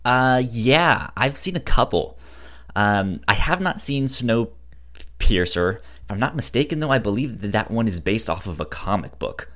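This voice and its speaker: Male podcast co-host, lowish voice, stutters on first sounds, halting casual film talk. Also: very animated, fairly bright tone. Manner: halting, hesitant delivery with frequent restarts.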